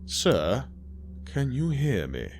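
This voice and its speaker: A man voicing an aging, haughty gentleman who asks fussy, formal questions in a condescending way. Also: medium pitch, huge pitch swings, takes it slowly, slight background noise, pitched above the performer's own voice. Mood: prim.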